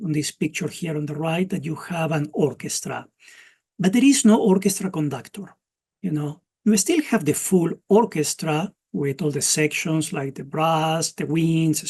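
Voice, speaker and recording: A man's voice: on the high side, husky. A man from Argentina with an Argentine Spanish accent, giving an earnest webinar presentation over a video call. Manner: earnest, speeds up when excited. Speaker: utility program presenter.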